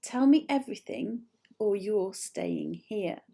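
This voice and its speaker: A woman's voice: mid-range voice, animated, takes it slowly, gravelly, warm tone, full character voice. A woman playing a determined young girl. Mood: earnest. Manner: earnest, firm.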